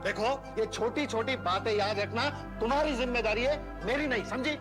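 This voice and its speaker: An actor playing a henpecked husband trying to act manly, with an overwrought, theatrical sitcom delivery. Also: male voice, very high voice, moderate pace, music in the background. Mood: blustering, bossy. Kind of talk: broad Indian TV sitcom acting.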